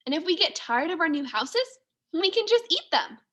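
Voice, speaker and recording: A woman's voice: extremely high pitch, big pitch swings, normal speed, a bit bright, voice pushed up, exaggerated voice. A woman reading a storybook as an adventurous, cheerful female mouse with an eager, encouraging manner. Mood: delighted.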